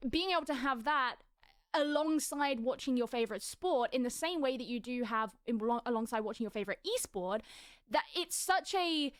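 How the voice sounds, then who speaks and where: A woman from the UK, narrating an analytical video essay in an articulate, measured way. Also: sits high, dry recording, studio quality. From United Kingdom. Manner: articulate and measured, with occasional fillers.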